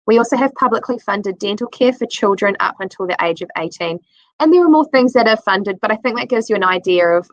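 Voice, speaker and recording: Female presenter, middle-aged, New Zealand accent, mid-range pitch, delivering a prepared professional talk. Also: a bit bright, no room sound, poor audio. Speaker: conference presenter, health service designer.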